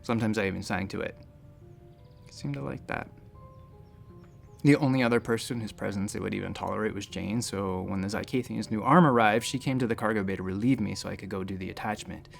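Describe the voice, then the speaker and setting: A man's voice: on the low side, brisk, gravelly, faint music behind. A man playing a nervous space-station doctor who talks in a hesitant, rambling, self-deprecating way in a sci-fi audio drama. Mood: reflective, tender. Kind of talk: sci-fi audio drama acting, conversational first-person storytelling.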